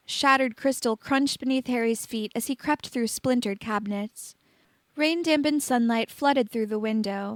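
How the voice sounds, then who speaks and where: A woman narrates a written story as its reader. Her voice sits fairly high, and the recording is close and dry, with very little room sound. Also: no room sound. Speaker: fan fiction reader.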